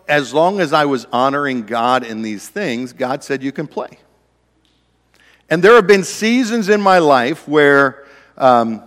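A man preaching a sermon, conversational and self-deprecating, with a naturally loud voice. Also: mid-range voice, long reverb tail. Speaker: pastor.

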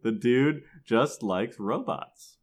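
A man with a medium-pitched voice chats as a podcast co-host. His delivery is casual and slangy, recapping stories in a loose, joking way. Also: expressive, slow. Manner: jokey, slangy retelling. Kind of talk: casual chat recapping a video game story.

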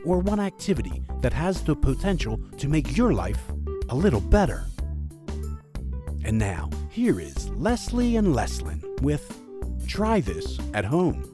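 Show intro announcer, very lively pitch, clear music behind. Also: measured pace. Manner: lively, polished announcer delivery.

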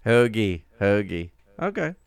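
A man's voice: lowish voice, sing-song, a little slow, gravelly, clear, resonant tone, no room sound, clean studio sound. A man from New York with a New York accent, co-hosting a podcast with casual reactive chat. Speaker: podcast co-host.

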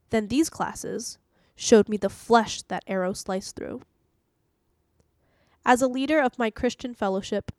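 Woman host reading a devotional script aloud, middle pitch, really dry recording no room sound. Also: a little mellow, no echo.

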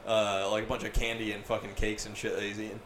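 A man's voice: on the low side, level tone, quick pace, fairly bright tone, slight background noise. A man hosting a podcast in casual chat, rambling, swearing freely, with many fillers. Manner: profane, rambling, filler-heavy. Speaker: podcast host.

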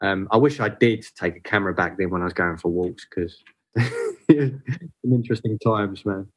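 A man's voice: lowish voice, lively intonation, normal speed, breathy edge. A man talking as a photographer guest in a casual podcast chat, hesitant with many fillers and restarts.

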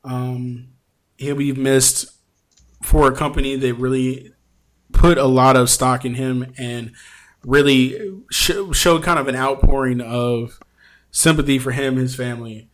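A man's voice: medium pitch, steady tone, measured pace, a bit bright. A man hosting a podcast, talking casually and informally in colloquial slang. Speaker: podcast host.

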